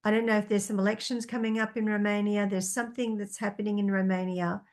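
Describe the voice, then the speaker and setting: A woman's voice: mid-range voice, little pitch movement, fast, a little mellow. Hesitant woman giving psychic readings. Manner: tentative, thinking aloud with many fillers. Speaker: psychic reader. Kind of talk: answering viewer questions, reading them aloud.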